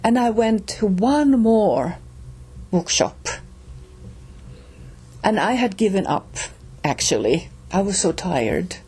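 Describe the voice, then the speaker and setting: Slow-talking Finnish woman telling a story. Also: mid-range voice, very animated, warm tone, breathy edge. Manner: slow, halting, with pauses and restarts. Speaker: reader and storyteller. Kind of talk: personal storytelling.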